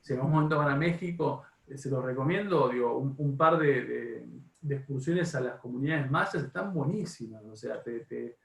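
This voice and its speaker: A man with an Argentine accent leading an informal class discussion as a teacher, often halting, repeating and rephrasing as he goes. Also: mid-range voice, gravelly. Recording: echoey room, distant from microphone.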